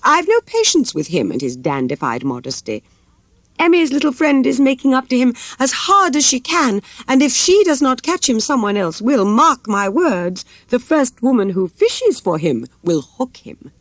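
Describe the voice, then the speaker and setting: Casting: woman to play an impatient elderly gentleman merchant, scolding and emphatic, very lively intonation, for an audiobook. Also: sits high, normal speed, no echo, voice pushed up. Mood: scornful, emphatic. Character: blunt, impatient elderly merchant father, male. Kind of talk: audiobook character voice.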